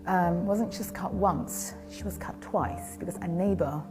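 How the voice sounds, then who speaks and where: Woman, lowish voice, grave measured testimony, music behind. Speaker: survivor giving testimony. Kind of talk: personal testimony.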